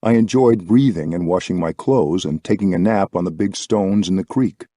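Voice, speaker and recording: Mellow, low-voiced man reading an audiobook. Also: normal speed, no room sound, studio quality. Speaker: audiobook reader. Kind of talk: scripted audiobook narration.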